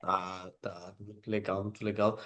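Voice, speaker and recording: A man whose first language is Spanish hosts an interview in Portuguese, speaking haltingly with many filler sounds and restarts. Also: sits low, flat delivery, fairly bright tone. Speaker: interview host.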